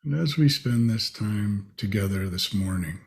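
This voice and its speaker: Man, meditation guide, lower-pitched voice, very slow pace, spacious phrasing, long pauses. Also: lively intonation, vocal fry.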